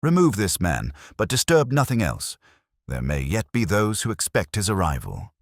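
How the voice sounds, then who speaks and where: A man voicing a cool, precise, commanding Victorian detective with deliberate, theatrical pronouncements in an audiobook. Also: lowish voice, wildly swooping pitch, dry recording, clean studio sound, voice pushed up, full character voice.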